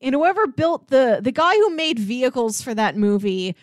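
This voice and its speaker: An American woman co-hosting a podcast, chatting casually about films in a chatty, animated way. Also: sits high, moderate pace, no room sound, clean studio sound. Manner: chatty, animated, often laughing while talking. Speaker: podcast co-host.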